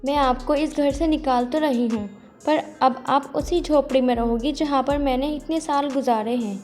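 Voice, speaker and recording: A woman's voice: sits high, fairly even intonation, normal speed, a little mellow, lightly acted. A woman voicing a poor, long-suffering older mother-in-law in a Hindi cartoon story, with music behind her. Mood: firm.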